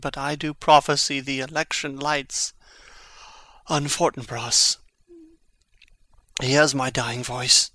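A man acting a dying young prince in a classical tragedy, declaiming in a halting, anguished and urgent way. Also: fairly high pitch, sharp tone, no echo. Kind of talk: classical stage tragedy, dramatic audiobook reading.

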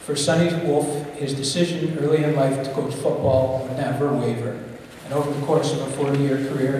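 A man's voice: medium pitch, darkish tone, a little hiss or hum. Man speaking at a lectern in an echoey hall. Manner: reads a citation, with some stumbles and self-corrections. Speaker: athletic director giving induction remarks. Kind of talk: formal speech read at a lectern. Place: large theatre stage. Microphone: lectern mic on a stand. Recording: echoey hall, mic heard from a distance.

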